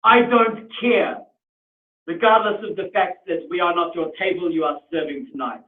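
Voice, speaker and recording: A man voicing an indignant, proud customer in a put-on South African accent, speaking slowly and scornfully. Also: sits high, recorded from a distance, pitched above the performer's own voice, close to an everyday voice. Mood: outraged. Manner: loud, slow and scornful, with stern declarations. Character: indignant middle-aged man, proud and outraged. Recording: tinny, echoey room from afar.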